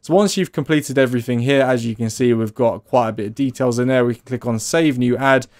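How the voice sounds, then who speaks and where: A man in his twenties with a mid-pitched voice narrates a screen tutorial from his desk. He explains each step casually and conversationally, like an instructor walking a viewer through software. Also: brisk. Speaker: digital marketing tutorial host. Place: small indoor room at a desk.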